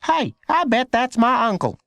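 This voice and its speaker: A man voicing an eager, excited young schoolboy in an animated way for a children's storybook. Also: extremely high pitch, moderate pace, gravelly, dry recording, voice pushed up, full character voice. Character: eager young boy, Lenny's school friend Carl Lee.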